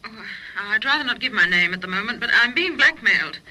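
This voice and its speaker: A woman playing a frightened, secretive caller in an old radio detective drama, anxious and hesitant, with a thin, sharp voice. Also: medium pitch, expressive, brisk, poor audio. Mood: anxious, urgent. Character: frightened, secretive female caller reporting blackmail.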